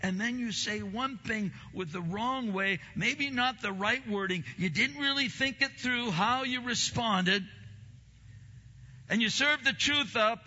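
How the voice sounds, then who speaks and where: A pastor preaching a sermon in a church, a man with a big voice who swings from low, slow stresses to loud, emphatic bursts. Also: big-room sound, highs cut off.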